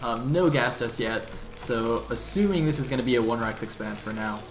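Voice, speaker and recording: A man's voice: medium pitch, normal speed. A man giving analytical, easygoing play-by-play commentary on an esports match, with the odd joke. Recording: echoey room with music.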